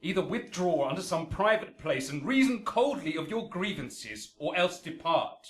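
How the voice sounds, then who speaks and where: An actor playing an anxious, sensible young peacemaker in a Shakespearean radio drama, measured and pleading. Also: male voice, high-pitched, big pitch swings, fairly bright tone, breathy edge, exaggerated voice. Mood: wary.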